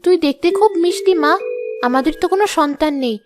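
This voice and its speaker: High-voiced motherly village wife. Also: lively intonation, fast talker, over music. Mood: tender, motherly. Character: caring village woodcutter's wife, nagging but motherly. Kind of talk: children's cartoon voice acting.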